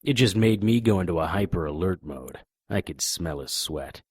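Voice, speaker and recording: An actor playing a deadpan, hardboiled private investigator, narrating and speaking in a noir audio drama. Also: male voice, sits low, moderate pace, breathy edge, no room sound, studio quality. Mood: deadpan, tense. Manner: deadpan, wisecracking.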